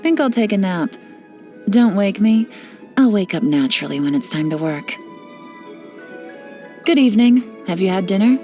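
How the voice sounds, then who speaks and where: Yelan, a woman voicing a sly, unhurried spy. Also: mid-range voice, faint music behind, lightly acted. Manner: teasing, relaxed, lightly sly. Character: cool, teasing female spy, sly and unhurried. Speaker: game character voice lines. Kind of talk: studio game voice-over.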